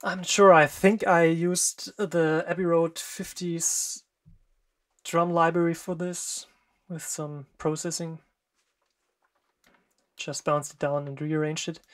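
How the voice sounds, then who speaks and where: Slow, halting German-accented producer. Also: mid-range voice, little pitch movement. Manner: hesitant, halting, many fillers and short pauses. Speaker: music producer. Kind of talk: screen-recorded production walkthrough.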